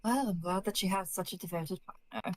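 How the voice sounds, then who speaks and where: A woman's voice: mid-range voice, brisk, no echo, natural voice. A woman voicing a composed, blunt tavern barmaid with a dry, polite manner in a tabletop game.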